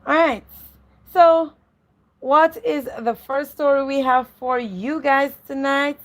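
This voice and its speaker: A woman's voice: high-pitched, steady tone, takes it slowly. A woman co-hosting a read-aloud show, welcoming and chatting to viewers in a repetitive way. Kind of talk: welcoming live-stream presenting.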